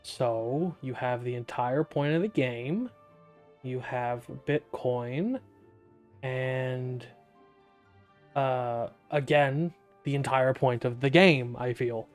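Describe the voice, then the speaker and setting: Gaming host, young man: mid-range voice, casual and joking, soft music under the talk. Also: mid-range voice, takes it slowly. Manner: wry, joking asides. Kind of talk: casual playthrough commentary. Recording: soft game music behind the voice.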